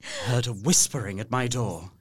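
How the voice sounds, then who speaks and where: A man playing an earnest, anguished young English gentleman held prisoner, narrating his diary dramatically in an audio drama. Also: mid-range voice, sing-song, moderate pace, slight background noise. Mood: bitter despair. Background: music under the voice.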